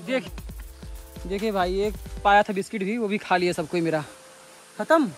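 Male vlogger, twenties, from India. High voice, excitable casual commentary, outdoor phone recording over music. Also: normal speed. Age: 20s. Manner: excitable, addressing viewers and friends. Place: outdoors on a rocky hillside and waterfall. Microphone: phone at arm's length.

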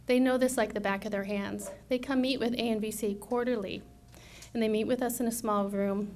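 A woman in her thirties with a soft voice, giving a persuasive conference presentation at a podium microphone in a university room, asking many rhetorical questions. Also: medium pitch, a little hiss or hum. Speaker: conference speaker.

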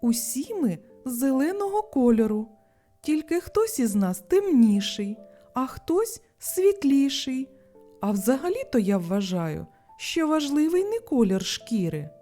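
A woman's voice: sits high, very animated, measured pace, mellow, soft background music, pitched above the performer's own voice. A woman voicing a kind, wise male crocodile in a children's storybook, encouraging and explaining things. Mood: wise, calm.

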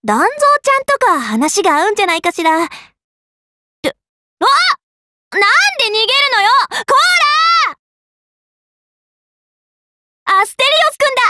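A young female Buddhist monk master from an anime game, with a very high, bright voice that leaps around in pitch. She is cheerful, bossy and a little scatterbrained. Her delivery is childlike and boastful, full of exclamations. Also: sing-song, a little slow, pauses often, dry recording, heavily acted. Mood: bossy, lively. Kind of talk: Japanese anime game character voice lines.

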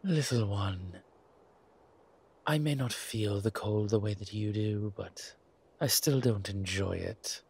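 A man playing a centuries-old vampire in a roleplay audio drama, suave, wry and protective, speaking in a measured, theatrical way with deliberate pauses. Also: lowish voice, a little mellow, full character voice. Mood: wry, grumbling. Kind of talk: scripted roleplay audio drama addressed to the listener. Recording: clear background ambience noise.

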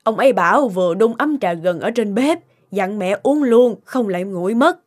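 A woman voicing a caring, shrewd older mother in a cartoon, chatty and gently admonishing, with loud music behind her. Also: mid-range voice, animated, moderate pace, close to an everyday voice. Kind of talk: animated cartoon voice acting. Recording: loud background music over voice.

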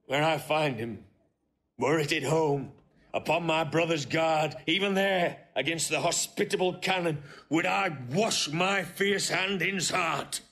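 An actor playing a fierce, defeated warrior general, declaiming vehemently in classical Shakespearean style. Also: male voice, fairly high pitch, unhurried, a bit bright. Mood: vengeful. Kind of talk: classical Shakespearean stage acting.

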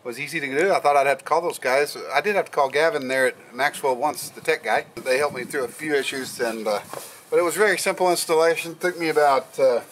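A man's voice: fairly high pitch, fast talker, a bit bright, slight background noise, poor audio. A middle-aged man giving a casual step-by-step how-to on his sailboat, plainly explaining with fillers, recorded on a camera mic. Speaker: sailing vlogger. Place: on a sailboat deck, outdoors and in the cabin.

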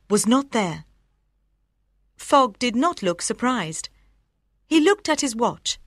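A woman reading a scripted storybook narration in a clearly enunciated, expressive way. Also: medium pitch, no echo, studio quality. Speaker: audiobook narrator.

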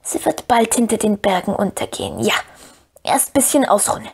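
A woman voicing a wretched, scheming little male cave creature from a fantasy story, with a hoarse, whispery croak and a cringing, drawn-out whine. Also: mid-range voice, huge pitch swings, darkish tone, exaggerated voice. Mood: whining, wary. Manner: whining, drawn-out, pleading.

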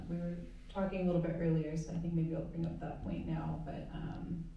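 A woman's voice: low voice, vocal fry, dark tone, distant mic, a little hiss or hum. A woman artist on a panel, speaking candidly and reflectively about her background. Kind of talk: personal panel talk. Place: museum auditorium stage. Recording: echoey hall, heard from afar.

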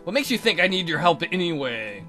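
An insecure, touchy schoolboy from a visual novel , played by a man, defensive and melodramatic. The high voice is bright and jumps around in pitch. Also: sing-song, a little fast, faint music behind. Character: touchy, insecure, struggling schoolboy from a dating game. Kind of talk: visual novel dialogue read aloud.

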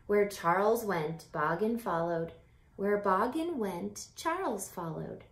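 Slow, expressive woman reading a storybook. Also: mid-range voice. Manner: animated, deliberate, drawn-out phrasing with hushed whispers. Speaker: teacher reading a picture book aloud. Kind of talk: expressive children's storybook read-aloud with asides to young listeners. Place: small home room by a window. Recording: roomy echo from home camera mic.

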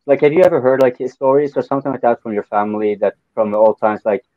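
A man's voice: mid-range voice, fast talker, no room sound. Rambling man on a video call. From Norway. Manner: rambling, many fillers and restarts. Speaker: panelist. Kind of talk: casual video call chat. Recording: dry muffled call audio.

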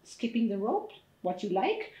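A young woman playing a patient, sympathetic mother in an educational role-play, gently reassuring and repeating herself to her child. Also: mid-range voice, sing-song, a little slow. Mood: reassuring, coaxing. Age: young adult. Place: echoey indoor meeting room. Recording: echoey room.

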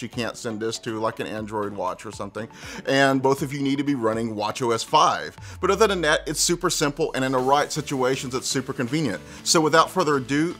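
A man's voice: mid-range voice, fairly bright tone. A man in his thirties presenting a how-to tutorial in a conversational, step-by-step way. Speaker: tech presenter. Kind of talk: casual how-to tutorial narration.

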